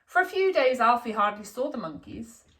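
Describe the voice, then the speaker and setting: A woman reading a children's picture book aloud in an expressive, deliberate storytelling manner for young listeners. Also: fairly high pitch. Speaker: storybook reader, school staff.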